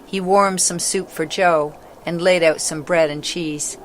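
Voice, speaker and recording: A woman narrates a scripted storybook. The recording carries clearly audible background noise and ambience around her reading. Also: on the low side, steady tone, a little slow.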